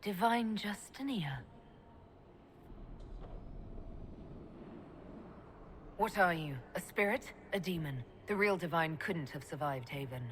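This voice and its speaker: Actress as a low-voiced, pragmatic female elf mage. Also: animated, normal speed, slight background noise, lightly acted. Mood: questioning, wary. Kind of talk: video game voice acting.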